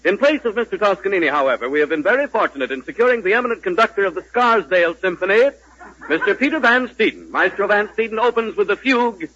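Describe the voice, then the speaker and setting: A man's voice: sits high, animated, fast. A man working as a 1940s radio announcer and straight man, on an old broadcast recording. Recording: muffled old radio recording, loud music.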